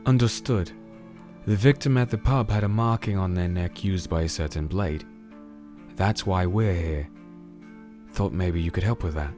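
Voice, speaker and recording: A man playing a very deep-voiced, solemn crusader. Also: dark tone, soft background music, natural voice. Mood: polite, measured. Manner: measured, solemn. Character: disenchanted male crusader, calm, firm sword-bearing investigator. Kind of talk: audio drama dialogue and first-person narration.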